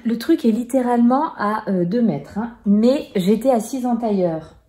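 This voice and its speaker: A woman's voice: on the high side, expressive, moderate pace, mellow. A woman in her forties chatting casually to camera about knitting at home, hesitant, with many fillers and small laughs. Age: 40s. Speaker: knitting vlogger.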